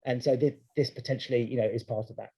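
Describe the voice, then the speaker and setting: A man's voice: mid-range voice, fairly even intonation, fast talker, dark tone, husky. A man speaking at a webinar, answering a question in a conversational way with many restarts. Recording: dull lo-fi video-call audio.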